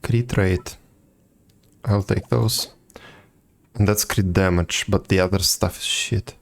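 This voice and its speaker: A man livestreaming a video game and chatting casually with viewers, halting now and then and repeating words. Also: low voice, steady tone, creaky. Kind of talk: casual chat with viewers while gaming. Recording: game music behind the voice. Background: video game music.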